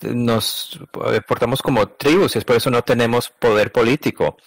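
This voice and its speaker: A man interpreting a conversation, speaking in a halting, stop-and-start way and often correcting himself mid-phrase. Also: mid-range voice, steady tone, no room sound. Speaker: interpreter voicing a translated conversation.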